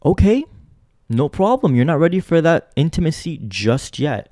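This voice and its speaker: A man's voice: fairly high pitch, sing-song, moderate pace, mellow, dry recording, studio quality. A man reacting to a clip in blunt commentary, lecturing and repeating his points for emphasis.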